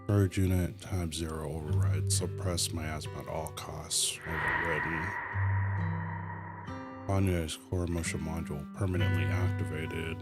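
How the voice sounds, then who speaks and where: A man voicing a stoic robot in a video game, giving clipped, mechanical status reports. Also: low voice. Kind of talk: scripted game voice acting.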